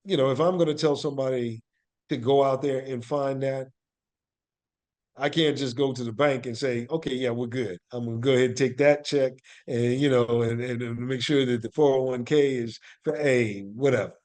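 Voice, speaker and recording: A man with a medium-pitched voice speaks as an interview guest. He is reflective and earnest, with a motivational bent. He often repeats a phrase to give it emphasis. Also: fairly even intonation, normal speed, darkish tone. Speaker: podcast guest, actor and playwright.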